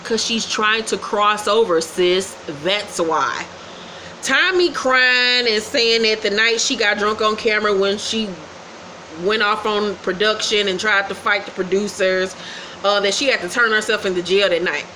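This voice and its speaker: Sassy woman host doing a blunt, profane gossip recap; bright, mid-pitched voice. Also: steady tone, a little slow, slight background noise, rough recording.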